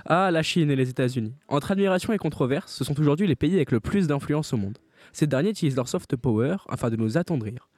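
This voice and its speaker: A man's voice: a little high, animated, fast, a little mellow, no room sound. Young man introducing a radio segment. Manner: reads a scripted introduction. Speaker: student host.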